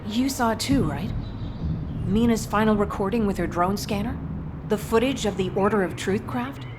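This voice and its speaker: An actress playing a serious, deliberate female soldier in a video game, driven and grieving. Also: mid-range voice, lightly acted. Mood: solemn. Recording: clear background noise and ambience.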